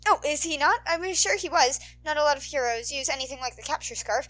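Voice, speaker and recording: A woman's voice: high voice, fast talker, bright, forceful tone, voice pushed up. A woman voicing a nervous, earnest teenage boy, a polite hero fan who rambles eagerly. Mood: eager rambling.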